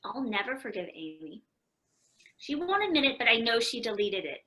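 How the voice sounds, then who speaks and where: A woman's voice: fairly high pitch, very animated, normal speed, bright, forceful tone, exaggerated voice. A woman playing a hot-tempered, resentful teenage girl in a radio play, with a dramatic, accusing manner. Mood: bitter. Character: Joe, hot-tempered resentful teenage sister and young writer.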